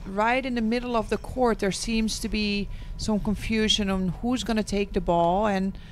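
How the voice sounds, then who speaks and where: A woman's voice: mid-range voice, steady tone, normal speed, slight background noise. A woman with a Dutch accent commentating on tennis, hesitant and full of ums. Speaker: guest commentator. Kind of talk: sports commentary.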